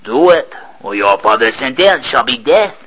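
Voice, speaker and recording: A man voicing a cruel, commanding ship captain who barks out slow, drawn-out threats in a storybook reading. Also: mid-range voice, sing-song, bright, forceful tone, breathy edge, exaggerated voice.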